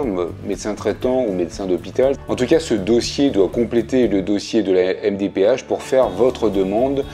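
Mellow-voiced man in his fifties. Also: fairly low pitch. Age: 50s. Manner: chatty, plainspoken, many fillers. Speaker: video creator. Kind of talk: casual explainer video. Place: living room, seated on a sofa.

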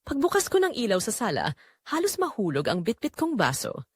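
A woman's voice: a little high, wildly swooping pitch, a little fast, slightly rough, no room sound, clean studio sound. A woman with a Filipino accent reading a first-person scripted drama in a confessional, storytelling manner. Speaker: audio drama narrator.

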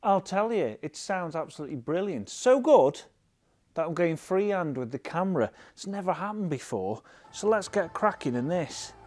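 A man's voice: sits high, big pitch swings, moderate pace, darkish tone. A British man in his thirties presenting a product overview with an enthusiastic manner. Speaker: audio shop presenter. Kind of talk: product review narration to camera.

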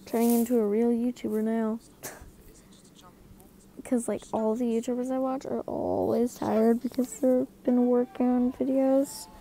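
A woman's voice: a little high, little pitch movement, measured pace, mellow, a little hiss or hum, low-quality recording. A tired young woman giving casual voice-over narration for her drawing video, rambling with lots of fillers and apologies, with the mic right next to her mouth.

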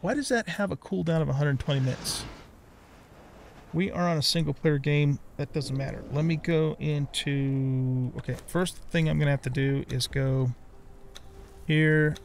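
A man's voice: fairly high pitch, moderate pace, gravelly, a little hiss or hum. A middle-aged man giving casual let's play commentary, thinking aloud as he plays. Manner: talks to himself, thinking aloud.

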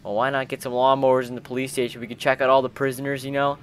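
A man's voice: medium pitch, flat delivery, racing, slight background noise. A man hosting a gaming video, chatting in informal slang as he roleplays and comments on the game with friends. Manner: chatty, informal, peppered with bro-style slang.

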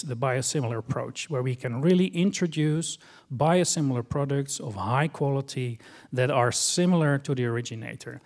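A middle-aged man with a strong Dutch accent, giving a conference talk from a stage into a handheld microphone, explaining in a measured way with frequent fillers. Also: mid-range voice, darkish tone. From Netherlands. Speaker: conference speaker. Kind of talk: conference presentation. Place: large conference hall stage.

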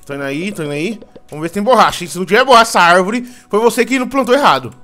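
A man's voice: high-pitched, animated, rapid-fire, pushed and bright. A man chatting casually and teasing friends while playing a game together. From Brazil. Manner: teasing, exclaiming, explains things insistently. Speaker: gamer in a group session. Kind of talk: casual gaming chat with friends.

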